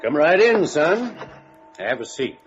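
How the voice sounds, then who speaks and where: An actor playing a folksy, shrewd small-town police chief in a 1950s radio drama, laconic in manner. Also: male voice, fairly high pitch, big pitch swings, slow pace, faint music behind. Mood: folksy, probing. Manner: laconic, folksy drawling idiom. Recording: muffled vintage radio recording.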